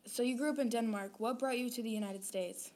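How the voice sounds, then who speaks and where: A woman's voice: on the high side, brisk, a little mellow, slight background noise. A woman asking direct, plain interview questions. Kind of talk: casual interview.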